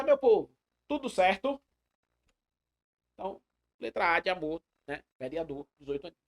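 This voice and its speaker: A man teaching an animated live exam-prep class, emphatic, repeating phrases and putting questions to his students. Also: a little high. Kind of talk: animated live online lecture.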